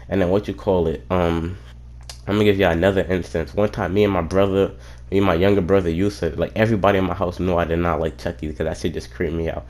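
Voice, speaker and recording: A man's voice: low voice, darkish tone. A young man streamer telling a casual, rambling story, swearing freely and often stopping to say "what you call it.".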